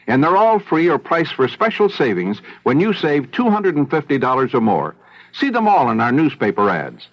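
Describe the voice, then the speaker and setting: Lively male radio ad announcer. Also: medium pitch, dry recording. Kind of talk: scripted 1970s radio ad read. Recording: dull old radio recording.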